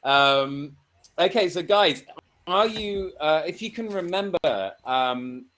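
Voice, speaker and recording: Casual male host, slightly high voice. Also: animated, takes it slowly, a bit bright. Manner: encouraging, informal. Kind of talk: casual live group discussion show.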